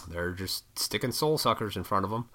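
Froggy-throated man ranting, low forceful voice. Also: lively intonation, moderate pace. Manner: profane, opinionated, rambling. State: froggy throat, clearing it often. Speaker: vlogger. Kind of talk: rambling casual rant to camera.

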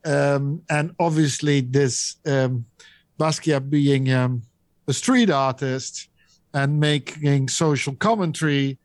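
A man's voice: mid-range voice, slow pace, no echo. A man with a Dutch accent, an auction house specialist explaining an artwork in an interview, hesitating often with many ums and restarts. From Netherlands.

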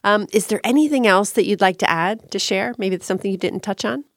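A woman's voice: mid-range voice, quick pace, no room sound, studio quality. A woman hosting an interview, upbeat and full of praise for her guest. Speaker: interview host.

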